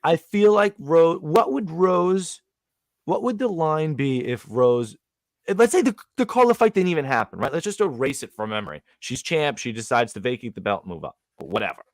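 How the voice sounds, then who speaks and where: A man co-hosting a podcast, breaking down fight betting lines in an animated, slangy way. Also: a little high, moderate pace, no room sound, studio quality. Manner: animated, slangy, calls people dog. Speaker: podcast co-host, betting analyst. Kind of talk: casual sports betting chat.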